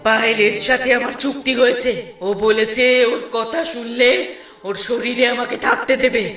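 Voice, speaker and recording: Woman as a bright, menacing vengeful jinn. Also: a little high, level tone, moderate pace, reverberant, soft background music, natural voice. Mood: furious threats. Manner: threatening, commanding. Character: vengeful, menacing jinn possessing a village girl. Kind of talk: Bengali cartoon voice acting.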